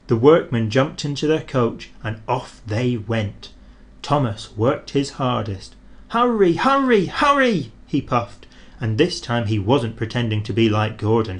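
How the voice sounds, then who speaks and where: Slow, expressive male storyteller. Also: medium pitch. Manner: gentle, expressive read-aloud. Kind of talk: scripted narration of a children's story.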